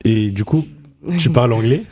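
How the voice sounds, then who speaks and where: A man interviewing students in a relaxed way, prompting them with questions. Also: medium pitch, moderate pace, dry recording. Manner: relaxed, prompting with short backchannels.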